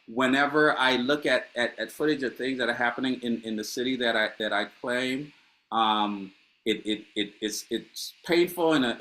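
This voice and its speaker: Man, middle-aged, from Honduras, playwright, bright tone, reflective panel talk. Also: medium pitch, low-quality recording. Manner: reflective, many restarts and fillers. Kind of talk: panel conversation.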